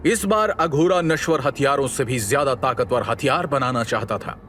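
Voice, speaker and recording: A man's voice: medium pitch, normal speed, a bit bright, slight background noise. A man giving scripted dramatic narration as the storyteller of an audio series. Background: background music.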